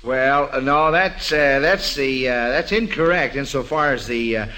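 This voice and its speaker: A man's voice: mid-range voice, a little slow, bright, forceful tone, smooth. An American man hosting a 1940s-style radio quiz, addressing child contestants in an avuncular way on an old broadcast recording. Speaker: quiz show host.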